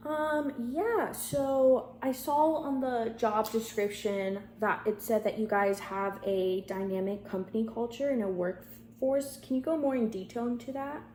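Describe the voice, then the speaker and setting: A woman's voice: on the high side, steady tone, unhurried, hardly any pauses, a little mellow. A woman interviewing for a job by phone, talking informally and somewhat hesitantly as she rephrases her answers. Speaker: job candidate. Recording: echoey room, faint background noise.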